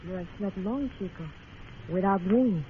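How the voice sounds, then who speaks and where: A woman's voice: medium pitch, moderate pace, soft background music, close to an everyday voice. A woman playing a mysterious, fatalistic young temptress, languid and teasing, in an old radio western. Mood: mysterious, calm. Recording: muffled, faintly noisy old radio recording.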